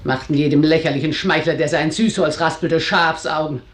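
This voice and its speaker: A woman's voice: low-pitched, fairly even intonation, moderate pace, reverberant, natural voice. An actress playing a domineering, stern middle-aged widow who rules her house, speaking in curt, haughty commands in a German radio drama. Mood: bitter, haughty.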